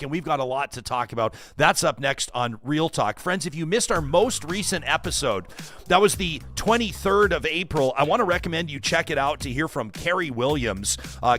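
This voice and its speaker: A man hosting a studio talk show, interviewing and reading promos with halting, repeated words. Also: on the high side, a bit bright, a little hiss or hum. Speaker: host. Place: radio studio.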